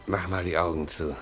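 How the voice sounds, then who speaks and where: An actor playing an earnest, easygoing young man in love, plain-spoken and affectionate in a 1950s radio play. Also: deep voice. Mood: playful. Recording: 1950s radio recording, faint music behind.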